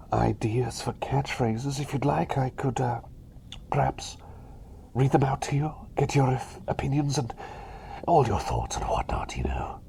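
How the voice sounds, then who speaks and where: A man playing a cheery, eccentric plague doctor in a whispered ASMR roleplay, polite, chatty and hesitant, bumbling along with excitable eagerness. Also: medium pitch, gravelly, a little hiss or hum. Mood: excited, eager.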